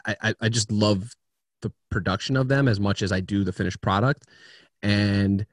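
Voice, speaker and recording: A man talking casually as a guest on a video-call interview from home, chatty and lively as he tells stories about himself. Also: fairly low pitch, no echo, studio quality. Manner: chatty storyteller, quick and animated.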